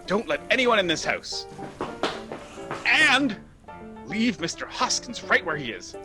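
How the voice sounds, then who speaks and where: A man's voice: high voice, very animated, measured pace, music in the background. A man playing a flustered, exasperated nephew in a radio comedy, stammering as he goes. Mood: exasperated, pleading. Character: frantic, flustered theater critic nephew. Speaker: radio play actor. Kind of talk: theatrical radio comedy acting.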